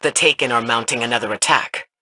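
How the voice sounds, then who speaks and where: A man's voice: medium pitch, quick pace, noisy background, close to an everyday voice. An actor playing a grave, authoritative male mentor in a science fantasy video game.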